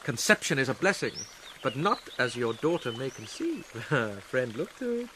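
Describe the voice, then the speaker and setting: An actor playing a young prince feigning madness, sardonic, playful and bitter in a theatrical Shakespearean radio drama style. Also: male voice, mid-range voice, very animated, normal speed, sharp tone, a little hiss or hum. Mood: mocking, mad.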